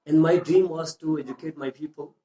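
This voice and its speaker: A Pakistani man with a fairly high voice answers interview questions about his school in careful, earnest English. He pauses often, restarts his sentences and leans on fillers, and his non-native phrasing slips in grammar now and then. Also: steady tone, dark tone, highs cut off. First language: Urdu or a local language. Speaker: school founder and English teacher.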